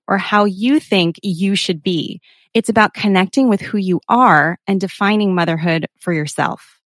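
A woman's voice: mid-range voice, a bit bright, dry recording, studio quality. A woman hosting a podcast, reading a scripted intro and then chatting with a guest in a soothing, friendly, upbeat way. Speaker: podcast host.

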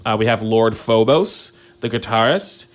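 A man's voice: on the low side, a little slow. A Canadian man hosting a small community radio show, chatting casually and enthusiastically with lots of fillers about the next song. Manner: rambling, filler-heavy, enthusiastic.

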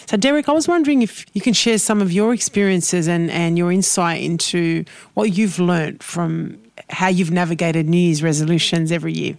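A woman hosting a podcast, talking in an effusive, praising, warm way. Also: fairly low pitch, moderate pace, gravelly, few pauses, no room sound. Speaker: podcast host. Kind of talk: casual podcast chat.

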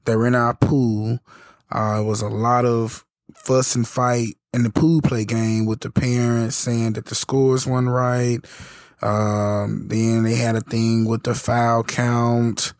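Hoarse man with halting chatty delivery. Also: mid-range voice, level tone, no echo, clean studio sound. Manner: halting, many fillers and restarts. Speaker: podcast host and youth basketball coach. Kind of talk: casual solo podcast talk.

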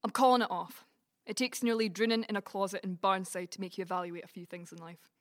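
A woman with a Scottish Dumfries accent acting in an audio play as a brash, gossipy, blunt friend with a soft side. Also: mid-range voice, fairly even intonation, fast talker, close to an everyday voice. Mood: reluctant, frank. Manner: chatty, blunt, sweary. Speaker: community cast actor. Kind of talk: community audio drama, colloquial dialogue.

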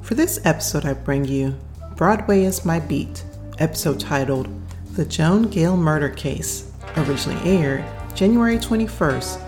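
A woman hosting, reading a scripted introduction to an episode. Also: sits low. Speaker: podcast host. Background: music.

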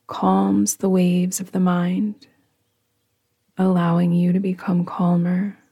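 A woman guiding a yoga nidra meditation in a soft, soothing, hushed voice that often trails into a breathy whisper. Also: mid-range voice. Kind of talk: guided meditation, slow and soothing.

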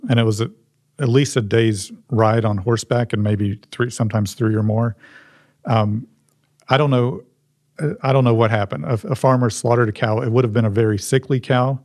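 A man, showing and explaining a museum object in a halting, restarting way. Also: medium pitch, a little mellow, no room sound, clean studio sound.

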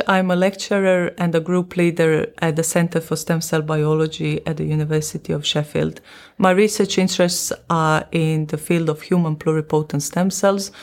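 A woman from Croatia who is a stem cell researcher and lecturer, recounting her career in a measured, reflective interview. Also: low voice, darkish tone. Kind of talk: recorded interview monologue.

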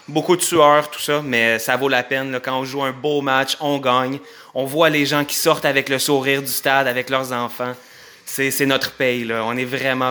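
A man's voice: medium pitch, bright, forceful tone. A man with a Quebec accent giving casual answers as an interview guest who runs a sports club. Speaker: baseball club vice-president, interview guest.

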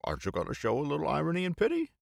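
A man's voice: on the high side, wildly swooping pitch, racing, creaky, dry recording, studio quality, voice pushed up, heavily acted. A man voicing a boisterous, playfully preachy teasing man in audiobook dialogue, with emphatic, bantering delivery. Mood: mock singsong.

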